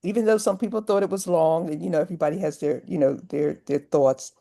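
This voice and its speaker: A woman's voice: fairly low pitch, quick pace, gravelly, mellow. A woman giving earnest, emphatic feedback as an audience member on a video call. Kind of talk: earnest spoken comment.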